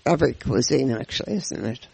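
A man hosting a radio interview, asking questions in a conversational, halting way. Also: mid-range voice, creaky, crowd noise. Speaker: radio host.

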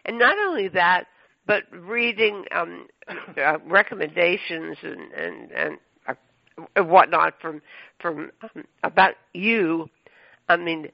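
A man's voice: high voice, vocal fry, dry recording. A man hosting a radio interview, talking in a rambling, effusive way with many fillers and restarts. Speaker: radio show host. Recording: dull lo-fi radio sound, dry.

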